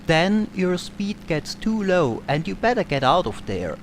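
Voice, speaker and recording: Austrian-accented young man, lively pitch. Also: measured pace, a little hiss or hum. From Austria, Tyrol. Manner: calm, explanatory. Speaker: gaming channel host. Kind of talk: Q&A over flight game footage.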